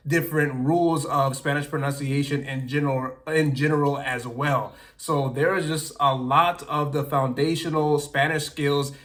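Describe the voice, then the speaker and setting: Man, slightly higher pitch, chatty passionate explainer to camera, laughs easily. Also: fairly even intonation, normal speed, few pauses, a bit bright. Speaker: language learning YouTuber.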